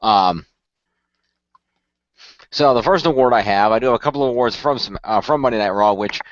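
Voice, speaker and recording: A man's voice: mid-range voice, normal speed, slight background noise. A man co-hosting a casual podcast, recapping events in a rambling way with many restarts. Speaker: podcast co-host. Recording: muffled dry lo-fi audio.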